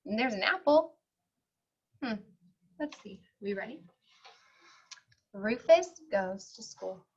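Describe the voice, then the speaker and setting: A woman's voice: fairly high pitch, expressive, takes it slowly, husky, muffled recording. A woman teacher reading a picture book aloud to young children, expressively, with simple explanatory asides.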